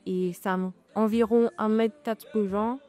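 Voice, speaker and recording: A young woman of eighteen with a mellow, warm voice gives hesitant answers full of fillers. Faint music plays behind her. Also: mid-range voice, fairly even intonation, a little slow. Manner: shy, hesitant, many fillers. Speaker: student model. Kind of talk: interview answers.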